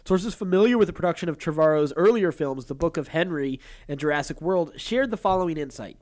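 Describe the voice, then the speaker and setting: Male podcast co-host, slightly high voice, casual delivery of entertainment news. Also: lively intonation, brisk, a little mellow. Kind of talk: casual chat about entertainment news, at times reading a story aloud.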